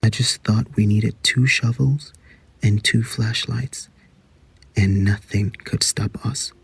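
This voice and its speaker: A man's voice: fairly low pitch, steady tone, slow, creaky, breathy edge, exaggerated voice. An actor playing a man looking back on a secret teenage adventure in a reflective audio drama monologue. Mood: reflective, hushed.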